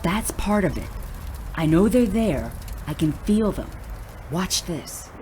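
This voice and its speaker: A woman's voice: on the low side, big pitch swings, takes it slowly, very noisy. An actress playing a stoned, bewildered middle-aged woman in an audio drama, hesitant and confiding. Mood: earnest, awed. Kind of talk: audio drama acting, naturalistic dialogue.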